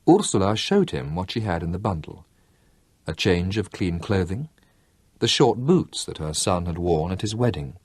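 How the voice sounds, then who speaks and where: A man narrating a novel as an expressive audiobook reader. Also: low voice, husky, dry recording, studio quality. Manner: expressive storytelling reading. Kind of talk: scripted narration.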